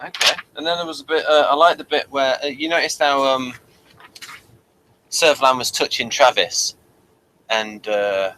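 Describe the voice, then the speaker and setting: A British man hosting a casual review chat, moving haltingly from one point to the next. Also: medium pitch, bright, forceful tone, a little hiss or hum, low-quality recording. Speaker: host of a TV review show.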